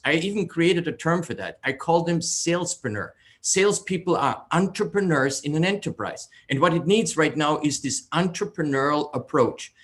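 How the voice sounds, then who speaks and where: A man's voice: a little high, fairly bright tone. A man from Austria with an Austrian accent, a native German speaker, giving a welcome speech at an online event. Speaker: entrepreneur, event co-host. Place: online group call.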